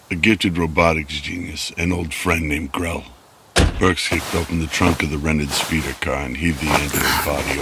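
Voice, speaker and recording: A man's voice: a bass voice, background noise. A man reading scripted narration for an old-style radio drama.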